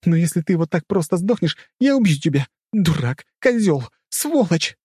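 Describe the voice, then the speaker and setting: Man putting on a fierce, high-voiced young woman fighter. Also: unhurried, no echo. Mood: furious grief. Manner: shouting, swearing, frantic. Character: fierce foul-mouthed young woman fighter, desperate and bossy. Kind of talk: dramatic audiobook character acting.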